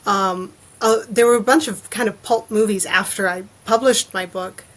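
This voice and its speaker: A woman's voice: medium pitch, fairly even intonation, moderate pace. A woman chatting as an author guest in a casual interview, in a thoughtful, slightly hesitant manner.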